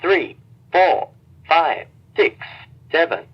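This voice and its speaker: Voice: mid-range voice, expressive, drawn-out words, husky. A machine voice prompt giving clipped number announcements through a small, tinny radio speaker. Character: recorded voice prompt of a walkie-talkie. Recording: dull tinny walkie-talkie speaker playback.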